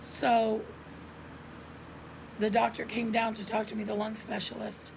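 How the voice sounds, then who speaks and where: A middle-aged woman with a hoarse voice and frequent coughs, telling viewers a personal story in an earnest, chatty way, recorded at home on a camera mic. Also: on the high side, level tone, a little slow, noisy background. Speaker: lifestyle vlogger.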